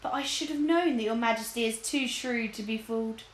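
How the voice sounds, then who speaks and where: A woman voicing a cunning storybook lord who speaks in grave, theatrical flattery, in an echoey room. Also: fairly high pitch, a little fast, natural voice. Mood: feigned regret. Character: cunning, flattering, scheming male lord, falsely sorrowful.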